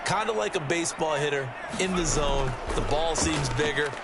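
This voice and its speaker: A man's voice: on the high side, normal speed, a loud crowd, heavy background noise, close to an everyday voice. A man playing an American ex-player football analyst, explaining the game in a folksy, conversational way for a video game. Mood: enthusiastic, chatty. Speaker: color commentator, former player. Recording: loud music behind the voice.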